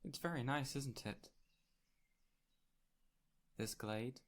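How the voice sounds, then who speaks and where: A man playing an ancient, whimsical fey spirit in an audio roleplay, speaking in a gentle, courtly, theatrical way with lingering pauses. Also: mid-range voice, a little hiss or hum. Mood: gentle, coaxing. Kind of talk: audio roleplay addressed to the listener.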